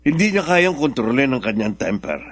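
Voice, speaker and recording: A man acting in a Filipino radio drama as a smooth-talking, insistent older businessman father. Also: medium pitch, big pitch swings, moderate pace. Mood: stern, insistent.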